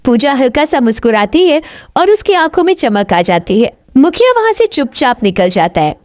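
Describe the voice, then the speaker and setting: A woman's voice: high voice, big pitch swings, moderate pace. A woman giving scripted narration for a horror cartoon story.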